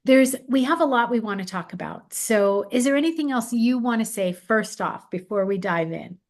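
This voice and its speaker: A woman's voice: a little high, animated, moderate pace. Woman, podcast host, reflective, wordplay, affirming, conversational interview. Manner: reflective, plays with words, many affirming fillers.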